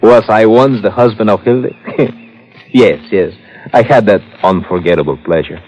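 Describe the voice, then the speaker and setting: Casting: actor for male ex-tennis champ chauffeur, sly and jovial, deepish voice, old radio drama, dulled sound. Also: a little mellow, faint music behind, natural voice. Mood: amused, boastful. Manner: chuckling, halting, foreign phrasing. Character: genial, sly, fat South American ex-tennis champion chauffeur. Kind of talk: old-time radio detective drama acting. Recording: muffled old-time radio recording.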